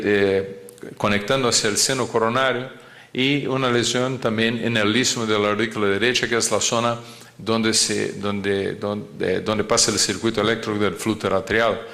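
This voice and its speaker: A man giving a medical lecture in a language that is not his first, halting often and restarting as he searches for words. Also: on the low side, fairly bright tone. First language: not Spanish.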